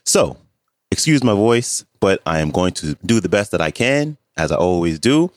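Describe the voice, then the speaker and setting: A man hosting a podcast, introducing a clip in a measured way, his voice a bit stuffy from allergies. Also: low-pitched, big pitch swings, dry recording. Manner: slow, measured delivery. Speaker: podcast host. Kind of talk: scripted show introduction.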